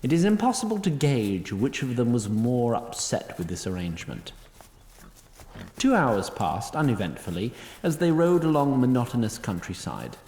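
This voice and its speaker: A man's voice: medium pitch, big pitch swings, normal speed, darkish tone. Wry male narrator with roomy echo. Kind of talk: scripted storybook-like narration of a fantasy audio drama.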